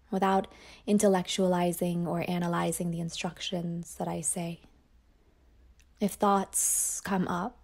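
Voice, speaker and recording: A woman teacher guiding a yoga nidra meditation in a slow, soft voice that often drops toward a whisper. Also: on the low side. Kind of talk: guided meditation.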